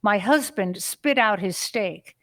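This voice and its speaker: Dry, measured synthetic-sounding woman reader. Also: medium pitch, animated, a bit bright, dry recording. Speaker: reader of listener letters. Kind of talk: reading a listener letter.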